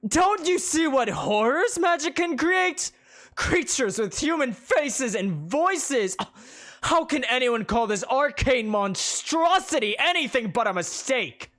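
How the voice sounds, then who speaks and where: Narrator as self-righteous male preacher, loud, slow, declaiming, raised pitch, lively. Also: full character voice. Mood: outraged. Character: self-righteous blond man preaching against magic.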